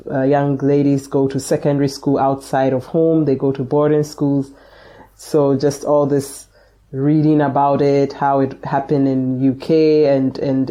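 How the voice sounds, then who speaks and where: Interview guest: woman from Ghana, mellow tone, reflective, laughs easily. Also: a bass voice. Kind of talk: casual interview chat.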